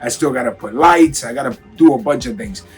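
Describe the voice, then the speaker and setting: A raspy-voiced man with a cold, from Miami, hyping up a casual clothing unboxing for his viewers. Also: mid-range voice, a little fast, faint music behind. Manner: hyped, slangy, talks straight to viewers. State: has a cold. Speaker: sneaker and clothing vlogger. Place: home room.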